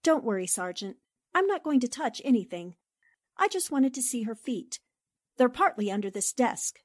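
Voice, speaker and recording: An actress plays a sharp-eyed newspaper reporter in a 1940s-style radio drama. Her voice sits fairly high and is recorded dry and close. She is poised, precise and politely probing. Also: sing-song, no echo. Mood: thoughtful. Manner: polite, precise, deliberate questioning.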